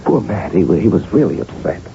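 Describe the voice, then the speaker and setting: An actor playing an anxious, melodramatic American married man in a 1980s radio drama, halting and stammering yet insistent. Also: fairly low pitch, big pitch swings, vocal fry, dark tone. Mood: grave, insistent. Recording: dull, noisy old radio broadcast.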